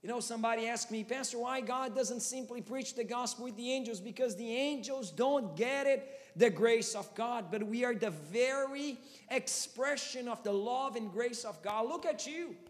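Pastor, 30s, Brazilian Portuguese accent, high-ish voice, passionate preaching, hall echo. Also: male voice, moderate pace, hardly any pauses. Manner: impassioned preaching with rising rhetorical questions. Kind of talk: sermon.

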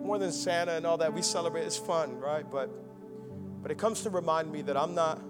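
High-voiced fervent young preacher over music. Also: male voice, brisk. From United States (Miami). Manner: rousing preaching, swinging from loud and fervent to quiet and intimate. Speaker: pastor. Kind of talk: Christmas sermon.

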